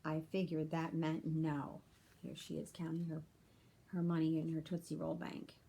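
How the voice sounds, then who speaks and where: A woman's voice: deep voice, monotone, normal speed, gravelly, mellow, slightly rough. A woman reading a children's chapter book aloud like a teacher, with simple explanatory asides for young listeners.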